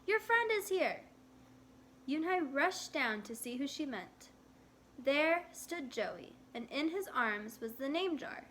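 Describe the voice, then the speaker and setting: A woman reads a children's story aloud with deliberate expression. Her voice is high and moves up and down animatedly, and she keeps an unhurried pace. Some background noise sits behind her. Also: expressive, fairly bright tone. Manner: slow, deliberate, acts out dialogue. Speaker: storyteller reading a picture book aloud.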